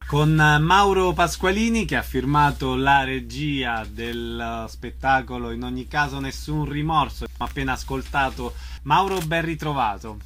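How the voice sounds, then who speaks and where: A man's voice: medium pitch, normal speed, hardly any pauses, fairly bright tone. A man hosting a radio interview, introducing and questioning his guest.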